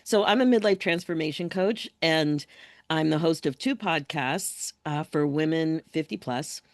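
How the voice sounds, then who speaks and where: A woman in her fifties, a podcaster and coach, introducing herself on a video call, chatty and clearing her throat. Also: low-pitched, a little slow, no room sound. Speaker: podcast host and midlife coach.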